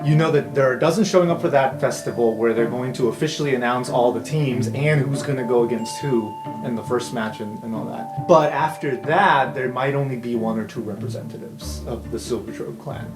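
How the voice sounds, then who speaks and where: A man runs a tabletop roleplaying game, narrating scenes off the cuff in a casual way. His middle-pitched voice has a roomy echo, and music plays clearly behind him. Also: a little fast, hardly any pauses. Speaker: game master.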